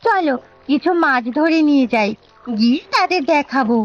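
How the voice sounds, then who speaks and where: A woman's voice: sits high, lively intonation, normal speed, no echo, lo-fi sound, soft background music. A woman voicing a caring village wife in a Bangla cartoon, coaxing and matter-of-fact. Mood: observant.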